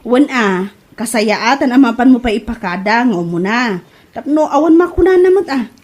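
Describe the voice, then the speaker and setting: Woman playing a high-voiced nagging village wife. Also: expressive, moderate pace, a little mellow. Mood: nagging, practical. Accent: Ilocano. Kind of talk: comedy radio-style drama acting.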